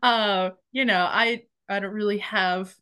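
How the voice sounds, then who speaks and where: A woman's voice: mid-range voice, steady tone, measured pace, pushed and bright, clear, resonant tone. A young woman hosting a casual book podcast, chatty and enthusiastic, with lots of fillers. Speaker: podcast host.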